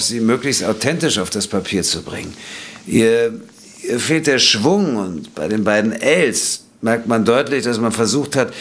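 An actor playing a troubled, earnest doctor in a German audio drama, speaking formally and carefully, a little haltingly. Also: male voice, on the low side. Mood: examining, thoughtful. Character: troubled male doctor, earnest, indignant when accused. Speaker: doctor in a detective story.

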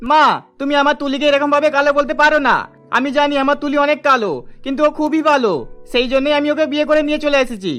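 Very high, quick young cartoon son, acted by a man. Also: fairly even intonation, fairly bright tone, faint music behind. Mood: defiant, protective. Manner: earnest, insistent explaining. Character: earnest, defensive young newlywed son. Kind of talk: melodramatic cartoon dubbing.

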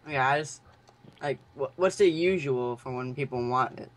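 A young man on a call, asking halting, stumbling questions as a customer. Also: medium pitch. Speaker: prank caller. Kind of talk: hesitant phone conversation. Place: online voice call.

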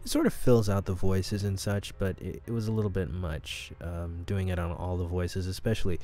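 A man's voice: deep voice, steady tone, moderate pace, mellow. A man giving casual, hesitant, off-the-cuff commentary as the director of an audio drama. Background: audio drama dialogue and music playing underneath.

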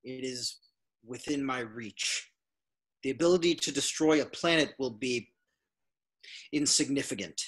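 A man voicing a menacing masked warlord in a script read, cold, slow and commanding. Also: medium pitch, fairly bright tone, husky. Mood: imperious. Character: menacing masked male dark-side warlord, cold and imperious. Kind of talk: fan table read of a film script.

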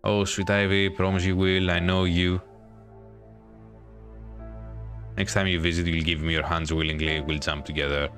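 Guy reading as a creepy coaxing ghost girl from a horror game, really low voice, music behind. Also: level tone, fairly quick, a bit bright. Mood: sinister promise. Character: menacing, possessive ghost of a dead girl. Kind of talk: reading visual novel dialogue aloud.

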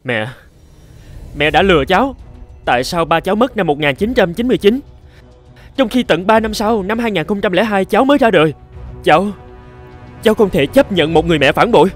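A man playing a resentful, ambitious teenage son from a poor home in an animated fairy tale, with an accusing, impatient manner. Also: high voice, a little fast, pauses often, soft background music. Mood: furious, accusing.

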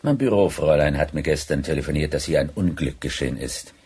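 An actor playing a condescending private detective agency boss in a radio crime drama, formal in manner. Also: male voice, extremely low pitch, quick pace, a little mellow, slightly rough, full character voice.

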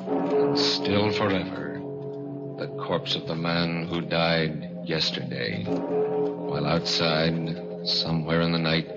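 A man narrating 1940s radio suspense as announcer, in an ominous, theatrical manner. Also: deep voice. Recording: muffled old radio, heavy reverb, loud music.

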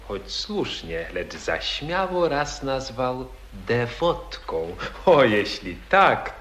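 A man playing a smooth-talking young nobleman suitor. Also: mid-range voice, fairly bright tone, a little hiss or hum. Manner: theatrical, wheedling, declamatory. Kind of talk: 1940s radio acting in verse. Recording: echoey old radio archive.

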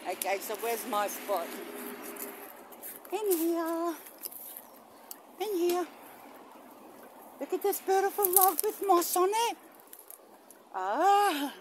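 A middle-aged woman chatting casually to a phone camera outdoors in a rambling, gently enthusiastic way, with exclamations. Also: extremely high pitch, big pitch swings, takes it slowly, long pauses. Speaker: vlogger, spiritual practitioner. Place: outdoors in bush and a shallow rock cave. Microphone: phone on selfie stick with attached microphone. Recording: noisy outdoor phone recording, traffic ambience.

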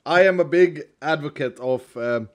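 A man's voice: on the high side, normal speed, noisy background. A man chatting and joking with friends on a voice call while playing, laughing often. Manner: giggly, jokey, laughs through lines. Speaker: Minecraft server player and video host. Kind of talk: casual gaming chat with friends.

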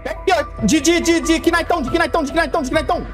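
A young Brazilian man doing excited live game commentary, repeating himself and swearing in slang. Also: extremely high pitch, fairly quick, music in the background. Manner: excitable, repetitive, heavily profane slang. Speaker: gaming streamer. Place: indoor room, webcam streaming setup.

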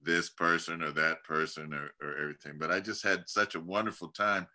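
A middle-aged man hosts a casual community video call from his book-lined home study, heard through a webcam. His voice is fairly low yet very bright and sharp in tone. He rambles easily, restarting phrases and filling pauses as he goes. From California. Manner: relaxed, rambling, many restarts and fillers. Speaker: host of church community video-call talk. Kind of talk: casual interview chat.

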